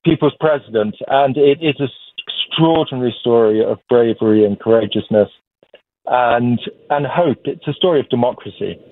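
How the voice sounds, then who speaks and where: A man's voice: mid-range voice, moderate pace, dry recording. Man talking over a tinny phone line. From Uganda. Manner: chatty, loose storytelling with fillers. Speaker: documentary director, guest. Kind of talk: casual interview answers.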